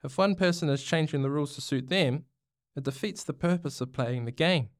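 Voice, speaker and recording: Man playing gentle New Zealand dad, clear-voiced. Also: on the high side, brisk, dry recording, studio quality, pitched above the performer's own voice, natural voice. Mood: reassuring. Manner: soft, reassuring. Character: gentle, caring father of a young girl.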